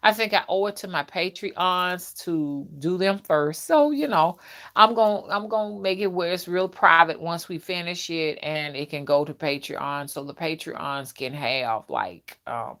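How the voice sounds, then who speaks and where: A woman's voice: lowish voice, measured pace, a bit bright. A woman, chatting casually as a livestream host and talking straight to her viewers, often checking in with them. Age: early fifties. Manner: conversational, addresses viewers directly, often checks in with "okay" and "you know". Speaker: livestream host and content creator.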